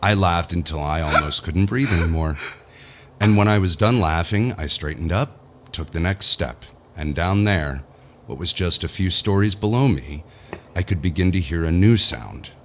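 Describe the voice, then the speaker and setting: A man's voice: deep voice, moderate pace, fairly bright tone, a little hiss or hum. An actor playing a powerful businessman unravelling in dread, theatrical and haunted, in a horror audio drama. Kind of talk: horror audio drama, first-person narration and monologue.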